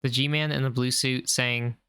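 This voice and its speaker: A man calmly narrating a scripted recap of a horror video series in a measured, explanatory way. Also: medium pitch, smooth, no room sound, studio quality.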